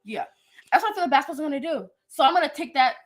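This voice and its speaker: A nineteen-year-old woman chatting playfully as a guest on a livestream, excitable and slangy, often repeating herself. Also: high-pitched, racing. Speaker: guest, goddaughter. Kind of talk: casual livestream chat.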